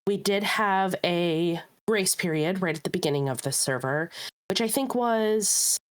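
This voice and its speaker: A woman co-hosting a podcast in casual chat, upbeat and chatty, her voice a little rough and hoarse from a recent illness. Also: lowish voice, a little slow. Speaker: podcast co-host.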